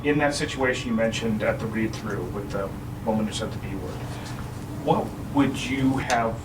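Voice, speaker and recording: Male audience questioner, off-mic in an echoey room with clear background noise. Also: lowish voice, normal speed. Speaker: audience member asking a question. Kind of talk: casual question at a panel. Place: audience, far from the microphone. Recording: distant, echoey, noisy room.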